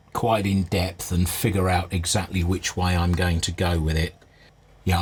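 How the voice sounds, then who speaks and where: A man giving an unscripted, hands-on inspection commentary as a restorer, thinking aloud in a measured, understated way. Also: low voice, slightly rough. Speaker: vintage radio restorer presenting a repair video.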